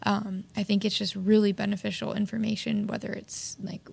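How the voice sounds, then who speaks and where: A woman hosts a casual interview, speaking softly and hesitantly and reaching for words. Her voice carries heavy vocal fry at a middle pitch. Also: flat delivery, moderate pace. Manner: soft-spoken, hesitant, many fillers. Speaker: podcast host, interviewer.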